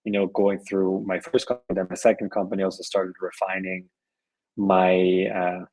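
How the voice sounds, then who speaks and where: A man's voice: low voice, little pitch movement, normal speed, a little mellow, no echo, low-quality recording. A middle-aged man being interviewed on a podcast, speaking in an easygoing conversational way with frequent restarts and repeated words. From Switzerland and Colombia. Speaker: podcast guest, venture investor.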